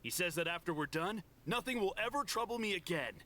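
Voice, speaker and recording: A man's voice: sits high, expressive, fairly quick, bright, forceful tone. A man voicing a snobbish, zealous devotee of a spiritual counselor in a video game. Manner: haughty, zealous.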